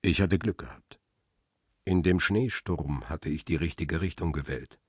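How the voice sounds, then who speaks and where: Weary crime-drama narrator, very low pitch, husky, dry close sound, measured and subdued. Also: male voice, a little mellow, no room sound, full character voice. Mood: sober, grim. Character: weary, lovelorn male paparazzo narrating his thriller story. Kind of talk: radio drama first-person narration.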